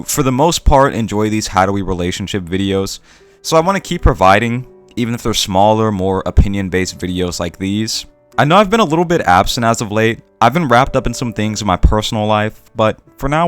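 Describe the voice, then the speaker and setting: A man's voice: fairly low pitch, animated, normal speed, a bit bright, clear, resonant tone, faint music behind. A man narrating a scripted opinion video essay as a channel host, with earnest, emphatic phrasing.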